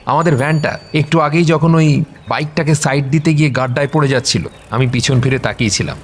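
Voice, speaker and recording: An actor playing an observant, confident young college man in a radio drama, explaining and teasing. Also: fairly high pitch. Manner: explanatory, teasing, mixes in English words.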